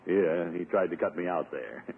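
An actor playing a blustering, jealous, portly middle-aged suitor in a 1950s radio sitcom, indignant and full of exclamations. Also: male voice, sits low, moderate pace, slightly rough. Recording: muffled, noisy 1950s radio recording.

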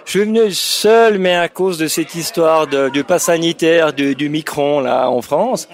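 A man's voice: fairly high pitch, unhurried. A man from Switzerland, a festival attendee, chatting casually in a vox-pop interview.

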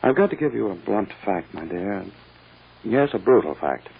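An actor playing a smug, ruthless lawyer in a 1940s radio drama, speaking in a pompous, condescending, deliberate way. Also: male voice, fairly low pitch, very animated, creaky, a little hiss or hum. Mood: coldly menacing. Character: smug, ruthless, silky male prosecuting lawyer. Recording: muffled old-time radio recording.

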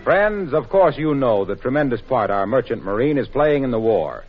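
Animated 1940s radio announcer man, muffled recording. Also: medium pitch, normal speed, music in the background. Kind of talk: 1940s radio announcing, scripted sponsor pitch.

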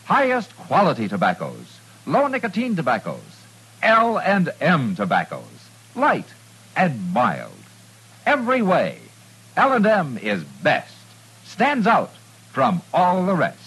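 A man with an American accent delivering a 1950s radio advertisement as a commercial pitchman. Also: huge pitch swings, takes it slowly, fairly bright tone, breathy edge, poor audio.

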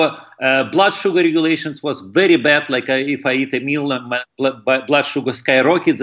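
A middle-aged man, a breathing teacher, answering interview questions in a halting way as he searches for words. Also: fairly high pitch, bright, forceful tone, lo-fi sound.

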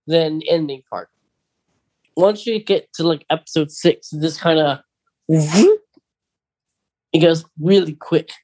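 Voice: mid-range voice, darkish tone. A podcast co-host with a mid-range voice who leads the casual conversation and moves it along with questions. The speech is hesitant, full of "um" and self-restarts. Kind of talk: casual chat.

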